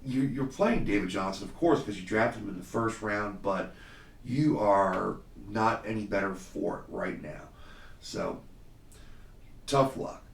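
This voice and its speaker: Male podcast host, slightly hoarse, low-ish voice, casual and confident with lots of fillers. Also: expressive, measured pace, echoey room, distant mic. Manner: confident, conversational, many fillers. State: slightly hoarse, under the weather. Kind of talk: solo fantasy football podcast talk.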